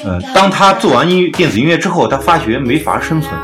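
A man's voice: fairly high pitch, sing-song, quick pace. A man hosting a podcast, explaining his opinions in a casual music discussion. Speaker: podcast host.

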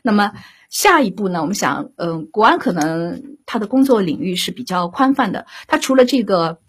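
Husky woman director explaining her work. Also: medium pitch, very animated. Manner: hesitant, halting, searching for words. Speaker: radio drama director being interviewed. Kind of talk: unscripted interview talk.

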